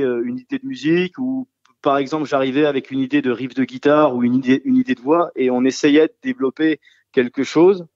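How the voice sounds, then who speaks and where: A man's voice: medium pitch, darkish tone, dry recording. Hesitant man musician in a dull dry studio. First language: French. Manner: hesitant, many fillers and restarts. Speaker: singer-guitarist of a rock trio. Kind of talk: casual radio interview answers.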